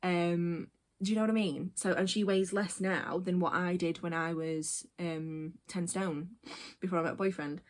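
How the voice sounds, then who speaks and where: A woman's voice: lowish voice, steady tone, normal speed. A woman, chatting casually in a personal vlog, rambling with many fillers and tangents. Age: 20s. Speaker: vlogger.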